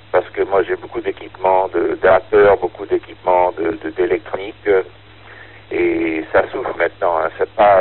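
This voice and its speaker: A man chatting casually as an amateur radio operator, haltingly searching for words, over a narrow radio link. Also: low voice. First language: French. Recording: tinny band-limited ham radio transmission.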